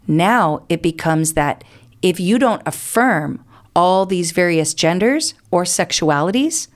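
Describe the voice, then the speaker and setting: A middle-aged American woman answering as an interview guest, explaining things earnestly in a conversational way. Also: fairly low pitch.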